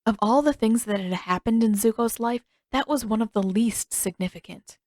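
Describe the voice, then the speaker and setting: A woman's voice: a little high, moderate pace, a little mellow, no room sound. Woman narrating fiction, very dry sound. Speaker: audiobook narrator.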